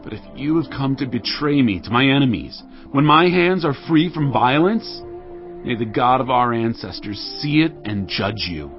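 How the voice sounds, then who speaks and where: A man's voice: medium pitch, animated, normal speed, dull audio, lightly acted. A man playing a wary, dignified warrior leader, speaking solemnly and declaiming in a dramatized scripture reading. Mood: solemn challenge. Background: background music.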